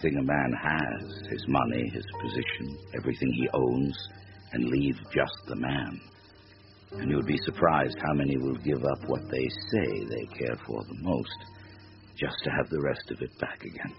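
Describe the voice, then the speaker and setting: An ancient Devil in a radio play, with an extremely deep, gravelly voice. He is wry and world-weary, taking his time over every word. The sound is muffled, with music underneath. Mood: calm, knowing. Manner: slow, deliberate, wry. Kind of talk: radio drama acting.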